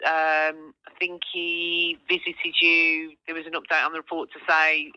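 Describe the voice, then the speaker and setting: A woman with a very bright, crisp-edged voice speaks over a dry telephone line. She is an official conducting a procedural interview, probing politely and methodically to get each point clear. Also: low voice, completely flat delivery, measured pace, dry recording. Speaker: police professional standards officer. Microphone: phone call.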